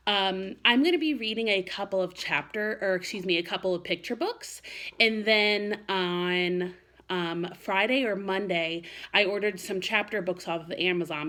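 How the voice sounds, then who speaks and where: A woman's voice: fairly low pitch, fairly bright tone. A woman first-grade teacher talking reassuringly to young children and reading a picture book aloud. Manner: addresses children directly, reassuring. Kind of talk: read-aloud for young children, chatty address and storybook narration.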